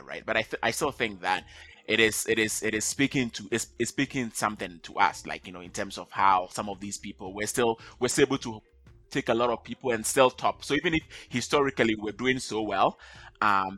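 Casting: male interview guest, Ghanaian accent, lowish pitch with a bright edge, casual talk. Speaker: podcast guest, data analyst.

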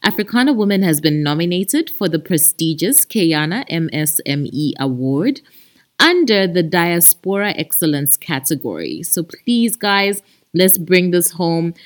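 A woman's voice: fairly low pitch, sing-song, measured pace. A woman with a Zambian accent hosting a chatty podcast, talking expressively and addressing her listeners like friends. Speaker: podcast host. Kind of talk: chatty podcast monologue with announcements.

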